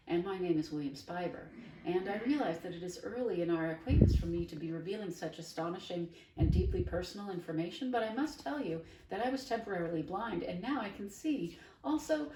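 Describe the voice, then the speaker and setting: A man's voice: high-pitched, animated, a little fast, a little mellow. A woman voicing an effusive boy who talks in long, formal sentences, read aloud in a roomy space from a distance. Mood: grandiloquent. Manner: formal, elaborate speech. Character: effusive, wordy boy newly able to see. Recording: roomy, distant mic, faint hiss.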